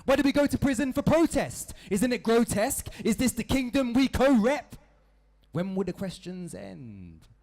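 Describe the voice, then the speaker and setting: Guy doing spoken word rap on stage, rhythmic, pitch jumping all over. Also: sing-song, moderate pace, a little mellow. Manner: rhetorical questions, rhythmic, urgent. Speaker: hip hop poet. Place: stage performance.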